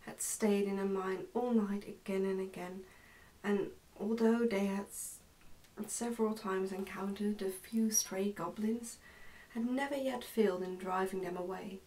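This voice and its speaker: A young woman reads a storybook aloud in a soft, hushed, careful ASMR style. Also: medium pitch, steady tone, measured pace, distant mic, rough recording. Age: young adult. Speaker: ASMR narrator reading a book aloud. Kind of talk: ASMR storybook reading, soft-spoken and whispery. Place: indoors, small plain room.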